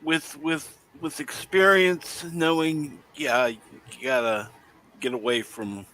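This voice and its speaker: A man's voice: fairly high pitch, steady tone, slow pace, bright, forceful tone, poor audio. A man hosting a casual livestream chat, mostly chiming in with brief agreement.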